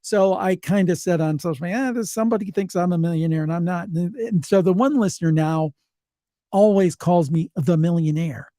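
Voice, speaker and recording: Male podcaster, fairly high mellow voice, folksy casual storyteller in conversation. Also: brisk, no room sound, studio quality. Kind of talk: casual anecdotal chat.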